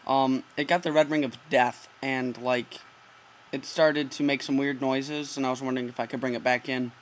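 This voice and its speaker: A man's voice: medium pitch, little pitch movement, normal speed. A teenage male making a casual prank call, keeping a straight, earnest delivery, picked up by a laptop mic. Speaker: prank caller. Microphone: laptop mic, close. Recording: noisy laptop microphone recording.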